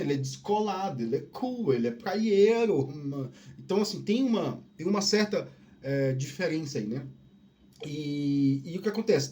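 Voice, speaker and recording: Man, softened Northeastern Brazilian accent, high voice, rambling casual monologue, lots of restarts. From Northeast Brazil (Piauí family). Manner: rambling, many restarts and "né?" tags. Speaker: podcast host.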